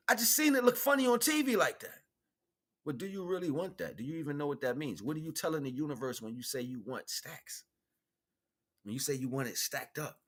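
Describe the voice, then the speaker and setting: A man with an American accent speaks in a slightly high, sharp-edged voice. He delivers a loose, improvised spiritual message in street slang. He leans on repetition to drive points home and slips into imagined back-and-forth dialogue. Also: breathy edge. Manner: emphatic, repeats phrases for stress. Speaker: spiritual teacher and livestream host. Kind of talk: improvised spiritual talk, casual street preaching.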